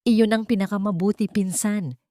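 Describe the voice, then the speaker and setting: A woman's voice: medium pitch, fairly even intonation, moderate pace, mellow, no room sound, studio quality, lightly acted. Woman voicing a scheming, falsely sympathetic male cousin. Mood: fake sympathy.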